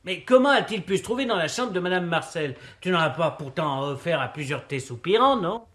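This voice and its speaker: A good-natured male sidekick, played by an actor in a French mystery drama. His voice sits rather high for a man, and he chatters in a colloquial, familiar way. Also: lively intonation, brisk, noisy background, natural voice. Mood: puzzled. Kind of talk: French radio mystery play acting.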